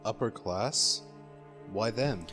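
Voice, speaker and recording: A man playing a wisecracking, excitable junior police officer in an amateur radio drama, sarcastic but deferential to his boss. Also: fairly low pitch, slow pace. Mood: curious. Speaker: voice actor in amateur radio play. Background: music.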